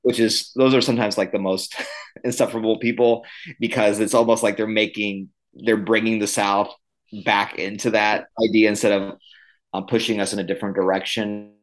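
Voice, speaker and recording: A man's voice: fairly low pitch, level tone, moderate pace, poor audio. A man who grew up in Illinois, a guest on a podcast, talking loosely with many "um"s and "like"s and a shifting pace. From Midwest, Illinois. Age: millennial. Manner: conversational, many fillers, uneven pace. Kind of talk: podcast interview.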